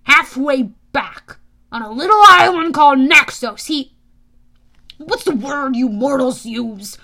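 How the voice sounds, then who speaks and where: A woman voicing a haughty, bitter, menacing male wine god in an audiobook, with a sneering, deliberate, pompous delivery. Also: sits high, lively intonation, bright, forceful tone, exaggerated voice. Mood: sardonic. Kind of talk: audiobook character voice.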